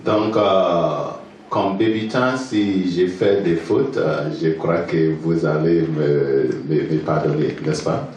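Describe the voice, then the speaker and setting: A man from Ghana with a Ghanaian accent, a native English speaker, preaching as a pastor in halting, hesitant second-language speech, pausing for an interpreter. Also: deep voice, a little mellow. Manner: halting, hesitant French with fillers. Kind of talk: sermon with pauses for interpreter. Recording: echoey church hall from far off, faint noise.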